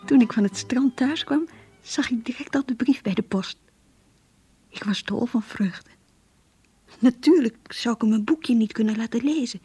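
A woman playing an earnest, lovestruck young girl in a radio play, emotional and yearning, with a breathy, husky voice. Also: on the high side, normal speed, long pauses, mellow, no room sound. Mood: happy yearning. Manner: emotional, yearning, polite and shy. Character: lovestruck, earnest young schoolgirl graduate reading her diary. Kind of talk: Dutch radio play acting, diary narration and dialogue.

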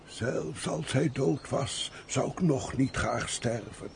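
An actor playing a pious, frail peasant of about seventy in a theatrical radio play, with an aged voice and emotive sighs. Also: male voice, mid-range voice. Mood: tender contentment. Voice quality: creaky, aged voice. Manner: slow, emotive, full of sighs and exclamations. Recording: faint background noise, old radio play.

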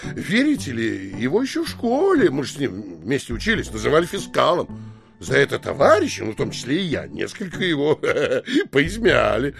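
A man playing a brazen, boastful landowner and compulsive liar in an audio drama, excitable and emphatic. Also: mid-range voice, normal speed, creaky, faint music behind, heavily acted. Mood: gleeful boasting. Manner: excitable, swaggering, emphatic, swears to every claim. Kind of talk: theatrical audiobook drama acting.

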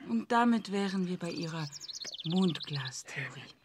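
A woman's voice: on the low side, lively intonation, measured pace, noisy background. Sceptical, needling female interviewer. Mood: pointed, dry. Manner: pointed, questioning, dryly challenging. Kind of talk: radio drama acting.

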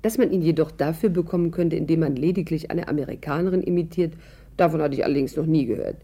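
Pert, witty stage actress. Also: female voice, low-pitched, fast talker, dark tone, husky. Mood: wry narration. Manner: pert, dryly ironic. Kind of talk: 1950s German radio play acting.

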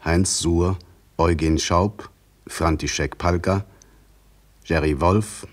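A man reading a formal scripted radio announcement in a measured manner. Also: low-pitched, fairly even intonation.

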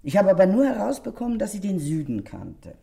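A woman's voice: mid-range voice, very animated, a little fast, vocal fry, dark tone, slightly rough, heavily acted. An actress playing a gossipy, self-righteous middle-aged landlady in a German crime radio play, chatty, indignant and insinuating.

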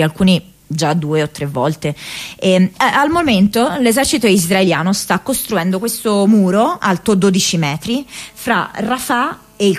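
A woman playing an earnest, troubled young American activist in a radio theatre diary monologue, hesitant and searching for words. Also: mid-range voice. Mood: sober, halting.

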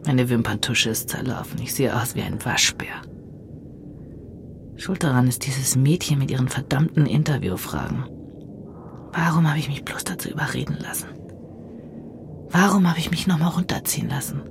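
An actress playing a woman narrating a dark, trancelike inner monologue in hushed, breathy, deliberate short phrases. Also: very deep voice, lively intonation, background noise, full character voice. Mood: tense, rueful. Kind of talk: intimate audio drama monologue.

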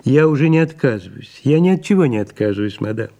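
A man acting a dry, dutiful secretary to an aging diva in an audio play, speaking in a measured, formal way. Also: medium pitch, lively intonation, warm tone. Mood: reluctant, dry. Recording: music clearly behind the voice.

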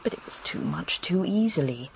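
Actress as a confiding English widow, faint noise. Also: female voice, on the low side, animated, normal speed, close to an everyday voice, low-quality recording. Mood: confiding, resigned. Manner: confiding, matter-of-fact. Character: candid, world-weary English widow, quietly resigned. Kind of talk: radio drama acting.

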